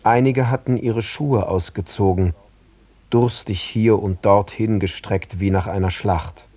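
Mellow, dry-miked sober narrator. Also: male voice, fairly low pitch, steady tone, a little slow, slightly rough, no room sound. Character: male narrator, sober observer recalling scenes in detail. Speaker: narrator in radio play. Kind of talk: literary radio narration.

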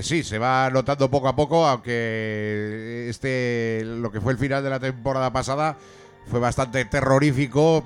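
A man's voice: medium pitch, a bit bright. A man hosting a rock podcast interview, talking in a chatty way with many fillers and restarts. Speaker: podcast host. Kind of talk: casual radio interview.

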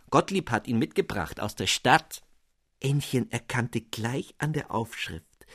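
Man reading a fairy tale out loud, really lively up-and-down voice, dead dry studio sound. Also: medium pitch, breathy edge, no room sound. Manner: expressive, lively storytelling. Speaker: narrator. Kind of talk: scripted storybook narration.

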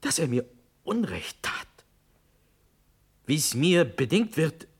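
An actor playing a proud, honour-bound young prince in a classical verse radio drama, with solemn, declamatory delivery that moves between hushed and forceful. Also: male voice, fairly high pitch, sing-song, takes it slowly, breathy edge, heavily acted. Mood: proud, impassioned.

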